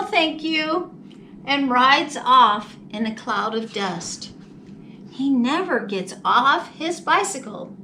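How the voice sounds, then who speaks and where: A woman reading a picture book to young children in a slow, animated, deliberate storytime style. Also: high voice. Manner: deliberate, animated, drawn-out phrasing. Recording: distant mic, faint background noise.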